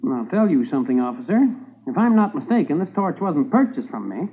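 An actor playing a folksy, obliging small-town hardware store owner in a 1950s radio drama, rambling along in a homespun, hesitant way. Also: male voice, a little high, sing-song, a little fast, slightly rough, exaggerated voice. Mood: puzzled, helpful. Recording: muffled old 1950s radio recording.

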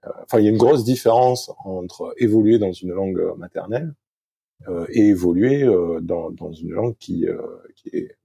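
A deep, gravelly-voiced middle-aged man talks through his answers in an interview, stopping and restarting often. His tone is dark and husky. The sound is dry and close. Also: dry recording. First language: French. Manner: halting, many fillers and restarts. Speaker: sailing coach, guest. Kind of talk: hesitant conversational interview answers.

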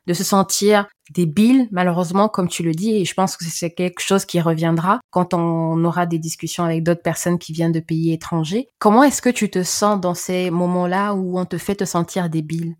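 A woman's voice: fairly low pitch, a little mellow. A woman hosting a podcast interview, asking probing, stacked follow-up questions. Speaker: podcast host.

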